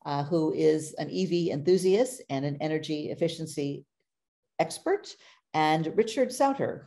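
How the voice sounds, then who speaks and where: A middle-aged woman hosting an interview over a video call, chatty and quick to laugh. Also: low-pitched, mellow. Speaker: host.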